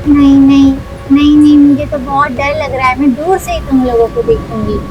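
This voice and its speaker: Woman as a timid high-voiced little cartoon girl. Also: steady tone, moderate pace, highs cut off, over music, natural voice. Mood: fearful. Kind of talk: children's toy cartoon story acting.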